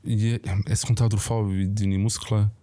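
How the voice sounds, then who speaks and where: A low-voiced man with a dark, relaxed tone and a Swiss German accent talks casually as a guest. He has a slight lisp and speaks in blunt, informal slang. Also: a little slow. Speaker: fitness talk guest. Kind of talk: casual chat.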